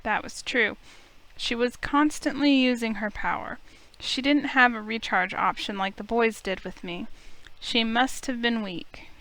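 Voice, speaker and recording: A woman reading a visual novel aloud as an expressive, dramatic narrator. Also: on the high side, a little slow, poor audio. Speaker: let's play streamer. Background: music in the background.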